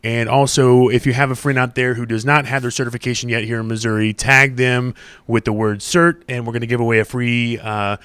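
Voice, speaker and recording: A man's voice: mid-range voice, flat delivery, brisk, a bit bright, clear, resonant tone, no room sound. A man hosting a live show in a casual, conversational style. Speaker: show host.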